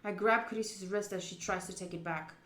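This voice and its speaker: A woman streaming a visual novel playthrough, reading the narration aloud in an expressive, dramatic way with excitable interjections. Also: medium pitch, a little fast. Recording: roomy echo.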